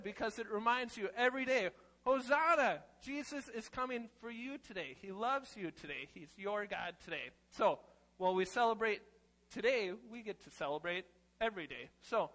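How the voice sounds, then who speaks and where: Bright, high-pitched young man, playful children's preacher. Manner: animated, informal, exclaiming. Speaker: children's message leader at church. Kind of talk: playful, casual children's sermon. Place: church sanctuary, seated on chancel steps among children.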